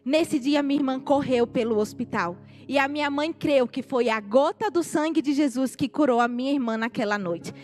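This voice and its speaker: A woman's voice: sits high, moderate pace, hardly any pauses. A woman in her forties with a Northeastern Brazilian accent, a missionary giving her testimony to a congregation and speaking to it directly. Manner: animated, addresses the congregation directly. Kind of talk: testimony sermon. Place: church sanctuary. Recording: live church recording, faint music.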